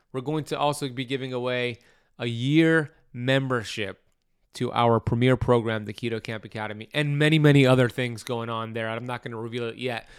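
A man's voice: medium pitch, smooth, dry recording, clean studio sound. A man hosting a health podcast, reading promotions and introducing his guest in an upbeat, enthusiastic way. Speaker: podcast host. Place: home in Miami.

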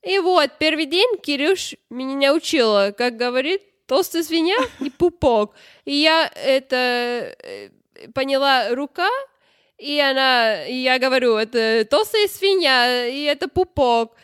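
High-voiced woman. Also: animated, fairly bright tone, smooth, no echo, studio quality. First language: not Russian, speaks it as a learned language. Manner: halting, searching for words in a learned language. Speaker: podcast co-host. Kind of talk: casual podcast chat.

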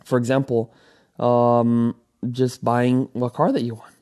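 A man talks through his ideas in a rambling, coaching way, stopping often for fillers and restarts. His voice sits at an ordinary pitch, with a dark, mellow tone. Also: little pitch movement, slow. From Venezuela. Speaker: podcast host. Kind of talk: solo motivational podcast talk.

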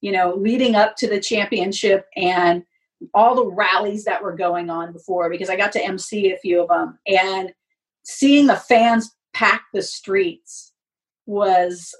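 A woman's voice: medium pitch, measured pace. A woman speaking candidly and emphatically as a guest in a casual interview; she works as a sports announcer. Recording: voice distant from the mic.

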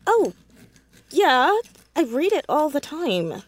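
A woman playing an anxious, defensive, bookish fourteen-year-old girl in an audio drama, stammering and halting. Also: high voice, very animated, dry recording, full character voice. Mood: nervous, awkward. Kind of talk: naturalistic audio drama acting.

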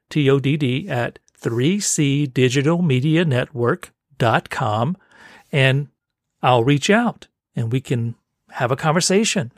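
Gravelly man hosting a podcast. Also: fairly high pitch, no echo, clean studio sound. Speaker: podcast host. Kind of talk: promo read and interviewing.

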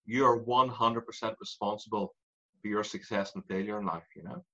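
A man in his forties with an Irish accent, a guest telling his story in an animated way and laughing through it. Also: fairly low pitch, fairly quick, lo-fi sound. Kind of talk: candid interview storytelling.

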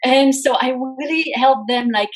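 A woman's voice: high voice, normal speed. Brief: middle-aged French woman, accented English, earnest interview guest telling her career story. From France. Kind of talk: live interview, personal story.

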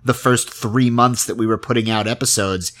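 A man interviewing in casual conversation, thinking aloud haltingly and repeating words as he goes. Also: medium pitch, steady tone, a bit bright. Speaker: interviewer, audio drama podcaster.

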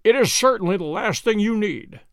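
A man's voice: high voice, normal speed, no echo, studio quality, voice pushed up. A man playing a cool, languid detective. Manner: calm, clipped, ironic replies. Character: cool, languid male detective, dryly amused.

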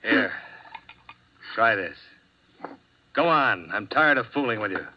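A cool tough investigator. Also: male voice, on the low side, animated, slow, slight background noise. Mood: firm. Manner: cool, firm, clipped. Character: tough steady male insurance investigator. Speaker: narrator and lead detective. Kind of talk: 1950s radio drama acting. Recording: dull lo-fi old radio broadcast.

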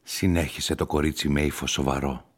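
A man's voice: a bass voice, steady tone, slightly rough. A man reading scripted literary narration as the storyteller of a radio play. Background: music.

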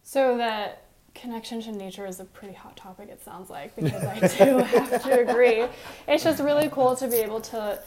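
A woman's voice: fairly high pitch, moderate pace, crowd noise. A young woman from Seattle, upbeat and laughing easily in a casual chat. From Seattle, USA.

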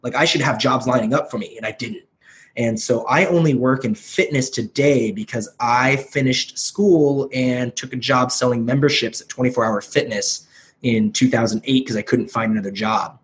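A man in his thirties from the United States, a podcast guest telling his life story in a casual, energetic, self-deprecating way, swearing freely. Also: mid-range voice, normal speed. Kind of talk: casual chat.

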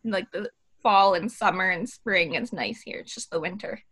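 A woman's voice: mid-range voice, normal speed, fairly bright tone, slightly rough. A young woman with an American accent hosting a podcast in a casual, chatty interview style. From Michigan, United States. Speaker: podcast host.

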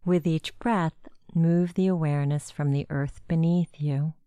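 Woman, meditation guide, mellow tone, very unhurried, gentle instructional delivery, dry recording. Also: sits low, fairly even intonation, clear, resonant tone, no room sound.

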